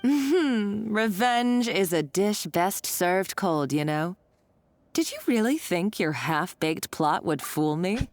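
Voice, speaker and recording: A woman's voice: fairly low pitch, a little mellow, dry recording. An actress playing a gloating, scheming female villain in a video game, theatrical and sardonic, taunting as she talks. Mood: mocking glee. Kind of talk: dramatic video game voice acting. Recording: game dialogue over background music.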